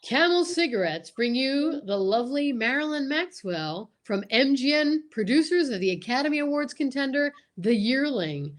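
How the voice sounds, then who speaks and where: Female announcer, vintage radio ad style: high register, showy pitch, big melodic swings. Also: lively intonation, moderate pace, few pauses. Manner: showy sales-pitch delivery. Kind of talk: old-time radio announcing and ad read.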